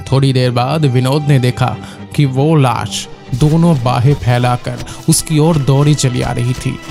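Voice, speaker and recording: Slow, gravelly male horror storyteller. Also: medium pitch. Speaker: narrator. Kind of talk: scripted horror story narration. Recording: loud music behind the voice. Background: loud music.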